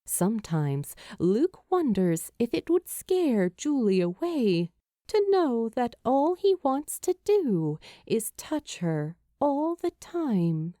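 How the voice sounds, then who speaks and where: Female narrator for fiction audiobook: unhurried, soft-edged tone, very dry intimate sound. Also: on the high side, big pitch swings, no room sound. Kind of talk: scripted story narration.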